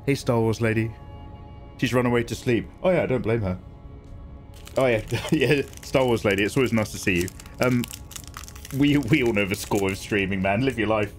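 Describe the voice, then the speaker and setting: A man with an English accent, chatting excitably on his live stream and swearing freely. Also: fairly low pitch, moderate pace, soft background music. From England. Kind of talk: casual live-stream chat.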